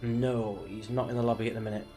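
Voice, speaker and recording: A British man talks casually to his livestream viewers with music playing behind him. He sounds a little sniffly from a cold. His delivery is chatty and rambling, at a middle pitch. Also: little pitch movement, fast talker. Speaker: live streamer, sim racing driver. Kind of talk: casual livestream chat before a race.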